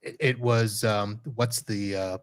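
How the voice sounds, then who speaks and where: A man hosting a podcast, chatting casually and teasing his guest in a relaxed way. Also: fairly low pitch, slow, smooth. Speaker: podcast host. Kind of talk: casual chat.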